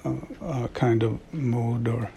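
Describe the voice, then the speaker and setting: A middle-aged man from Sweden, an author and devotee, talking reflectively in a slow, halting way with frequent soft laughs. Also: medium pitch, slight background noise. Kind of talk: reflective monologue.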